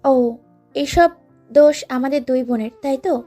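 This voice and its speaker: A woman's voice: sits high, normal speed, mellow, soft background music, lightly acted. A woman playing a bossy, touchy young captive in an audio story. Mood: annoyed, scolding. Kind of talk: Bengali audio story acting.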